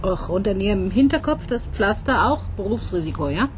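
An actress playing a wry, teasing woman police detective in a radio crime drama, sharp with her words. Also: mid-range voice, sing-song, brisk, lightly acted. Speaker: homicide detective. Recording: radio play with clear background noise.

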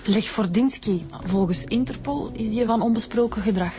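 A woman's voice: on the high side, normal speed, dark tone, faint music behind, natural voice. Woman playing level-headed Flemish police inspector. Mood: matter-of-fact. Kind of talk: radio drama acting.

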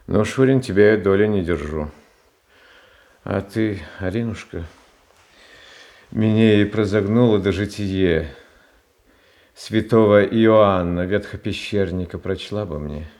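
An actor playing a meek, childlike tsar, speaking softly and pleading, flaring into hurt protest in a Soviet-era radio drama. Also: male voice, lowish voice, measured pace, pauses often, natural voice. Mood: mild. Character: gentle meek young tsar Fyodor.

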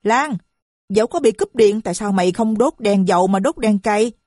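A woman voicing a shrill, boastful, foul-mouthed middle-aged mother who screeches her abuse. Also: moderate pace, a little mellow, no echo, studio quality, close to an everyday voice. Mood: screaming.

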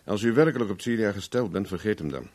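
A man's voice: lowish voice, animated, fast talker, darkish tone. A man acting a cynical, flirtatious, hard-drinking private investigator in a 1970s Dutch radio play, wry and firm. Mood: firm, warning. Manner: wry, teasing, evasive, firm when pressed.

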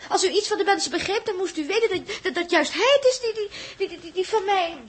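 A young woman on a space crew in a 1960s radio drama. Her voice is extremely high and girlish. She is emotional and easily wounded, hesitating as she speaks. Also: expressive, fast. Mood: indignant, flustered. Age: young adult. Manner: girlish, hesitant, stammering when upset.

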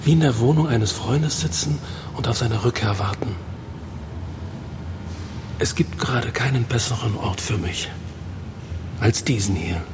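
An actor playing a brooding, cryptic writer in a radio drama, musing aloud and speaking in riddles. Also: male voice, medium pitch, measured pace, pauses often, background noise, close to an everyday voice. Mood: melancholy musing. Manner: musing, probing, gives oracular answers. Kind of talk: German radio drama acting.

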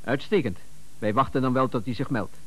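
Formal mid-pitched police dispatcher. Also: male voice, sharp tone, low-quality recording. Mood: calm, official. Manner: measured, courteous, official. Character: formal, careful male police dispatcher in command. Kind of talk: radio play acting as police dispatch talk.

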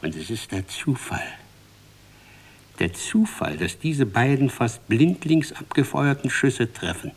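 An actor playing a solemn, impassioned storyteller in a radio play, declamatory and deliberate, repeating phrases for emphasis. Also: male voice, mid-range voice, big pitch swings, slightly rough, heavily acted. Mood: grave, mounting. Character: solemn, impassioned male storyteller, a bard of fate. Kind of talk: dramatic scripted narration in a radio play.

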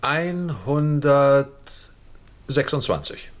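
An actor in a 1960s German radio drama playing a skeptical army general, curt and incredulous. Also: male voice, medium pitch, expressive, very slow. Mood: challenging.

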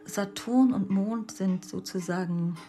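Radio play astrologer, played by a woman: earnest, explanatory, mid-pitched female voice, taking her time. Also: slow pace, warm tone, lightly acted. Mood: earnest, explaining. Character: woman astrologer earnestly reading a birth chart. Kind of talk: monologue in a literary radio play. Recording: music under the voice.

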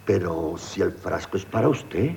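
An actor playing an obliging, baffled manservant in radio theatre, hesitant and coaxing. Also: male voice, deep voice, animated, unhurried, creaky, warm tone, full character voice. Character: obliging, baffled manservant running errands for his master. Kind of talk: 1970s radio theatre acting. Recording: noisy 1970s radio broadcast.

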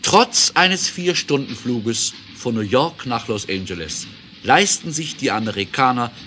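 Casting: male narrator, medium pitch, sharp and animated, scripted historical storytelling for audio drama. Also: a little slow, slight background noise. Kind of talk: scripted documentary narration for an adventure radio play.

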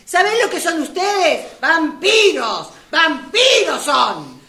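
A woman with an Argentine accent playing an earnest, stubborn, absurd customer in an amateur radio play, speaking haltingly. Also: extremely high pitch, big pitch swings, sharp tone, full character voice. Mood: indignant. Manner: hesitant, halting delivery.

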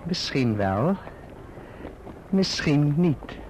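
An actor playing a falsely humble, scheming young clerk in a radio drama, wheedling and obsequious. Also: male voice, fairly high pitch, big pitch swings, extremely slow, a little mellow, exaggerated voice. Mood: sly, wary. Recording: dull, noisy old radio recording.

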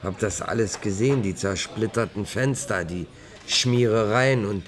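A man's voice: on the low side, normal speed, natural voice. A man playing a troubled, conscience-stricken actor colleague in a German radio drama, probing and reflective. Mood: haunted, recollecting.

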